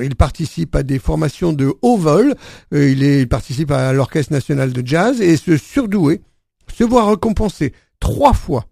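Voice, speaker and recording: Gravelly French radio host, hesitant. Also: male voice, a little high, a little mellow, no room sound, clean studio sound. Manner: hesitant, many fillers. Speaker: radio jazz show host. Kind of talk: casual radio presenting. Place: radio studio.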